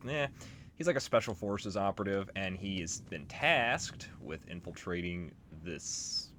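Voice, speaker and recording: A man's voice: sits low, a bit bright. A man hosting a let's play, giving casual, explanatory commentary on a video game. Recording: clear noise behind commentary.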